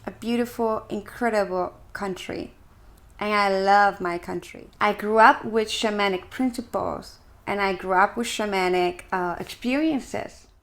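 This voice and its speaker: Woman, Ecuadorian Spanish accent, medium pitch, earnest explainer, deliberate, emphatic pauses. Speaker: shamanic practitioner and video host. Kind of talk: earnest explanatory talk to camera.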